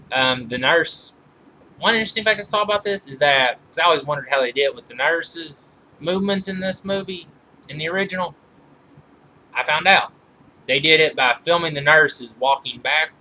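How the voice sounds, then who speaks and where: A man giving an informal review commentary from notes, halting and often backing up to rephrase his sentences. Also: mid-range voice, sharp tone, slight background noise, poor audio. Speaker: video reviewer counting down a list of movies.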